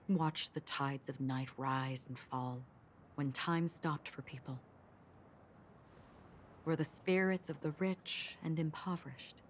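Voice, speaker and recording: A soft-spoken forgetful swordswoman. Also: sits low, slight background noise. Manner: quiet, slow, half-whispered. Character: calm forgetful swordswoman, young adult. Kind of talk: game voice acting. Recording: game audio with music underneath.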